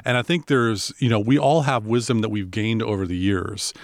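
A man with a baritone voice co-hosting a podcast in casual, rambling chat with fillers and restarts. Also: steady tone, normal speed, dry recording, studio quality. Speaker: podcast co-host.

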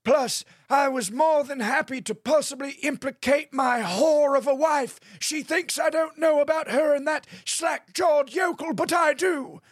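A man's voice: very high-pitched, measured pace, hardly any pauses, no echo, studio quality. A man acting a megalomaniac villain tycoon in an audio drama, declaiming grandly and theatrically. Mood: smug, scheming. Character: megalomaniac male villain tycoon turned self-declared god.

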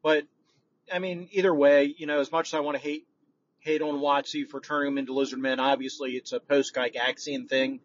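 A man's voice: a little high, little pitch movement, fairly quick. A man leaving a casual voicemail as a podcast listener, speaking in a frank, conversational way. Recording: dull, noisy, distant voicemail recording.

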